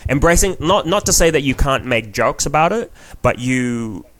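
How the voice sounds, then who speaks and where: A man's voice: medium pitch, lively intonation, moderate pace, a bit bright, dry recording. A man from New Zealand with a New Zealand accent, a guest comedian talking frankly and at length on a casual podcast, swearing freely and restarting his sentences.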